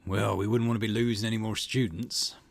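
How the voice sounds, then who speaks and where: A man plays a gruff, caring school janitor from an anime game. His voice is fairly low and closely recorded, with a dry sound and a folksy, grumbling delivery. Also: steady tone, brisk, no echo. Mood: paternal.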